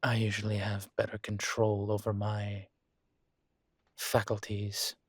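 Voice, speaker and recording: A composed male college professor, played by a male voice actor in an intimate audio roleplay. His voice sits fairly low and moves at a very slow, measured pace, formal and dryly wry. The sound is close and very dry, with no room to it. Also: completely flat delivery, slightly rough, no room sound. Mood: embarrassed, apologetic. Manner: measured, formal, hesitant politeness. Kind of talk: intimate scripted one-sided roleplay dialogue.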